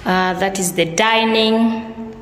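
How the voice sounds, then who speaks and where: A woman in her thirties giving a casual, excited tour of her new apartment, filmed on a phone. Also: mid-range voice, slow. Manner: excited, simple repeated phrases. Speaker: vlogger. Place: empty apartment with bare rooms. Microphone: phone camera. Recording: echoey empty rooms.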